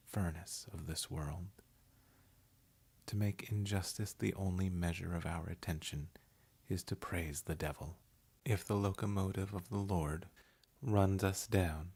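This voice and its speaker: A man voice-over artist giving a measured, unhurried, hushed reading of essay and poetry. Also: sits low, gravelly, slightly rough. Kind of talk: scripted narration of essay and poetry.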